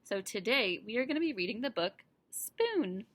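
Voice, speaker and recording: Casting: female teacher for kids' read-aloud, slightly high, very varied intonation, close dry sound. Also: very animated, normal speed, no echo. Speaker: teacher reading to kindergarten pupils. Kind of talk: expressive children's storybook read-aloud.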